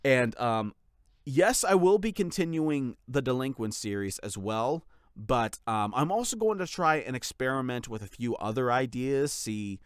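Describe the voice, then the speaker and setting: Male content creator, mid-pitched and very clean-sounding, casual update read, effusively thankful and unscripted in feel. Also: animated, moderate pace, clean tone, no echo, studio quality. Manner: gushing, grateful, informal. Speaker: channel creator and voice actor.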